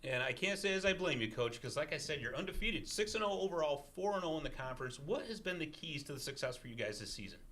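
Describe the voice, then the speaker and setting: A man hosting a college podcast in a studio, energetic and jokey with emphatic delivery. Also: a little high, fast, hardly any pauses. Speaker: podcast host. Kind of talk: upbeat interview hosting.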